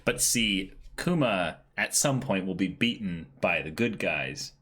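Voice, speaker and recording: A man's voice: low-pitched, expressive, a little slow, bright, forceful tone. A man co-hosting a casual manga reading, bantering and reacting with exclamations. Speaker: co-host of a manga dramatic reading.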